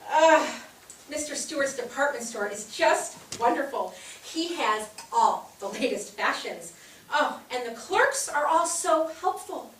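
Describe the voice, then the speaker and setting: An actress in a staged reading playing a bubbly, bossy 1860s society wife, gushing and insistent in a theatrical way. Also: female voice, high-pitched, lively intonation, a little slow. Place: theatre stage, recorded from a distance. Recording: echoey stage, distant mic, faint noise.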